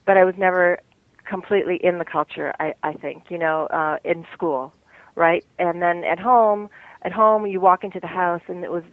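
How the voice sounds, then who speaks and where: A woman's voice: on the low side, fairly even intonation, moderate pace, no room sound, narrow, tinny audio. An American woman author being interviewed, speaking in a thoughtful way, searching for words and laughing often. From United States. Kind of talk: reflective interview talk.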